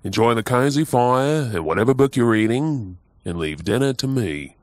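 An Australian man playing an affectionate, playful and caring husband in an intimate ASMR roleplay, soft-spoken and teasing. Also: mid-range voice, expressive, normal speed, no echo, natural voice.

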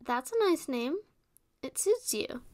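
A woman's voice: high voice, big pitch swings, slow, darkish tone, exaggerated voice. A woman playing a protective, playful resurrected witch in an ASMR roleplay, speaking in a sweet, theatrical way. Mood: reassuring.

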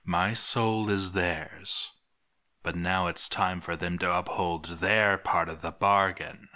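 Vengeful undead villain played by a male storyteller: very slow, hushed, menacing. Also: low voice, steady tone, voice pushed down, heavily acted. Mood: brooding, vengeful. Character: vengeful dead villain bound to demons.

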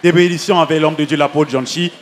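Man interpreting a service, raised pitch. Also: racing, a little hiss or hum. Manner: even, relaying summaries. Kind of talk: consecutive interpreting.

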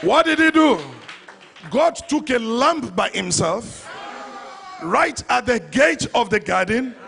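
A man's voice: very high voice, very animated, slow, creaky, fairly bright tone, a little hiss or hum. A middle-aged man with a Nigerian accent preaching an impassioned, emphatic sermon into a handheld microphone, repeating phrases for effect. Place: large church hall before a congregation. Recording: live church PA with faint crowd.

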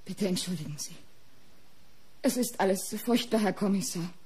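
A woman's voice: medium pitch, normal speed, a little mellow, slightly rough. An actress in a German radio crime drama playing a guarded, devoted young woman who models for a painter. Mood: guarded, pained.

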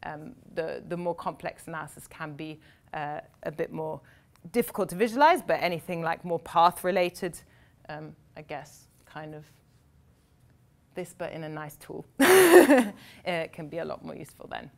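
A young woman speaking at a meetup, answering audience questions in fluent, quick explanations sprinkled with "um" and "like". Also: low voice, lively intonation, gravelly. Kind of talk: live Q&A at a tech meetup. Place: meeting room with seated audience, indoors.

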